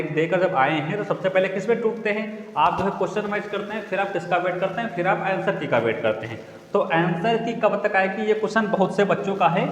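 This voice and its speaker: A man's voice: sits high, a bit bright, slight background noise. A young male teacher explaining exam updates to students, repeating each point and asking the class to recall it. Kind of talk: repetitive classroom-style explanation. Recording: echoey room with long reverb, faint ambience.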